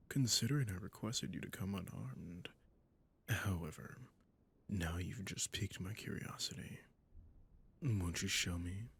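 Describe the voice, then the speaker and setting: A suave, sadistic vampire lord, acted by a man speaks in a deep, husky near-whisper that crackles with fry. He is possessive and condescending, wrapping threats in silky courtesy. The delivery is intimate roleplay audio, unhurried and menacing. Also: exaggerated voice. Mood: silky, teasing. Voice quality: breathy, gravelly, near-whispering. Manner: slow, silky, mock-courteous with condescending endearments.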